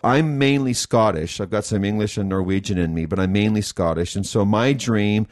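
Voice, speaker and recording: A man's voice: fairly low pitch, normal speed. Enthusiastic rambling man co-hosting. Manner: enthusiastic, rambling, thinks aloud. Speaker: co-host of a nutrition school podcast. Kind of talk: casual conversational chat.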